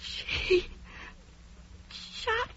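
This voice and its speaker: An American actress playing a weak, pained, dying mistress in a radio drama, with faint, breathy, halting speech. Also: female voice. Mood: agonized, fading. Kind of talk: 1950s radio drama acting. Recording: muffled vintage radio recording.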